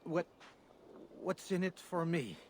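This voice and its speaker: An actor playing a sly, bargaining defeated enemy in a fantasy video game cutscene. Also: male voice, fairly high pitch, animated, takes it slowly, a little hiss or hum. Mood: sly, wheedling. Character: sly, bargaining male enemy pleading for survival.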